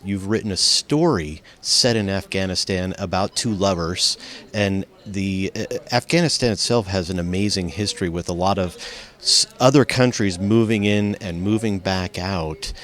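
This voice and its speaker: A man's voice: fairly low pitch, fairly bright tone. A man hosting an interview, enthusiastic and complimentary, sometimes tripping over his words. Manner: enthusiastic, complimentary, with some slips. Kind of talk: interview host.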